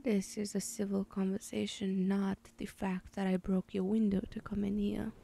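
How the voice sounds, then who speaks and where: A woman's voice: mid-range voice, flat delivery, moderate pace, vocal fry, dark tone. A woman playing a teasing, menacing female villain in an ASMR roleplay, whispering breathily with a taunting, condescending manner. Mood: calmly menacing.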